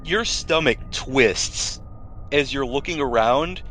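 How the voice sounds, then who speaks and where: A man's voice: medium pitch, slow, a bit bright, a little hiss or hum. A man running a tabletop roleplaying game as game master, talking casually.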